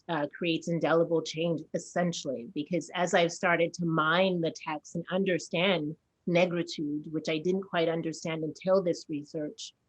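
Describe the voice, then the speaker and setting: A woman's voice: deep voice, rough recording. A Haitian-born woman who works as a theater director and actor, speaking earnestly in a panel conversation.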